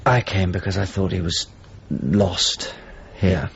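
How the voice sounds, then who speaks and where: A male actor playing a middle-aged painter in a radio drama, measured, inquiring and a little hesitant, with a husky voice. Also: deep voice, muffled recording, a little hiss or hum, full character voice. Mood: probing, concerned. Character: Italian painter, probing visitor seeking an old friend. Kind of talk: naturalistic radio drama acting.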